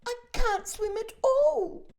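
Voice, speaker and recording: A woman voicing a kindly, chatty female ladybird with a high, breathy, confiding voice. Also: unhurried, warm tone. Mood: alarmed.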